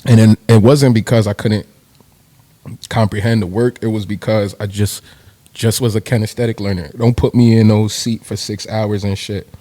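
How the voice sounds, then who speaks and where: Laid-back young rapper guest, dark low voice, dry close studio sound, slangy and profane. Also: male voice, fairly even intonation, normal speed, no room sound. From New Jersey, USA. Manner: laid-back slangy, swears freely, laughs. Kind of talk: casual interview chat.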